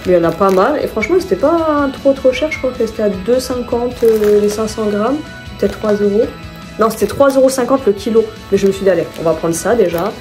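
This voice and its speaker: A chatty woman talks through her shopping haul at home in a relaxed, mellow voice. She rambles and corrects herself as she goes. Soft music plays quietly behind her. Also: medium pitch, brisk. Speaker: vlogger. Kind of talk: casual vlog chat, showing her shopping haul.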